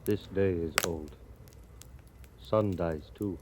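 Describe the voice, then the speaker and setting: Slow, solemn frontier scout. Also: male voice, sits low. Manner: halting, clipped broken English. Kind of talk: 1950s radio drama acting. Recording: hissy vintage radio recording.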